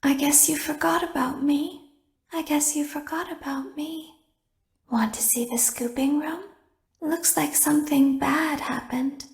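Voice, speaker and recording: A woman voicing a creepy, quietly vengeful animatronic with a hushed, taunting delivery for a video game. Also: sits high, fairly even intonation, measured pace, gravelly, echoey room. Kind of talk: video game character lines.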